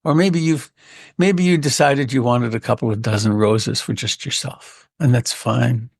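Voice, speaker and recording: A man leading a guided meditation in a gentle, unhurried way with long pauses and a creaky voice. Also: medium pitch, dry recording, studio quality. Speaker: meditation teacher.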